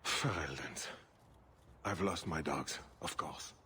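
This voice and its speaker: A man's voice: extremely low pitch, animated, slow, sharp tone, a little hiss or hum, exaggerated voice. An actor playing a fussy, exasperated nobleman in a video game. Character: fussy, exasperated nobleman searching for his hounds.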